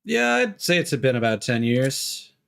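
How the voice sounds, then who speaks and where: Cocky, wry game hero. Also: male voice, medium pitch, sing-song, a little slow, vocal fry, a bit bright, clean tone, exaggerated voice. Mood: wry teasing. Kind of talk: video game cutscene acting.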